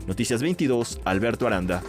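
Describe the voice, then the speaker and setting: A man reporter reads a news item from a script in a formal, even way. His voice sits in the middle range, with faint music underneath. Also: medium pitch, fairly quick.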